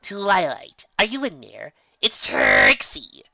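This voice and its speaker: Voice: medium pitch, huge pitch swings, slow pace, vocal fry, sharp tone, dry recording, pitched above the performer's own voice, exaggerated voice. A reader voicing a cautious, proud female unicorn magician who calls out in a hesitant, polite way. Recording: dull, muffled home recording.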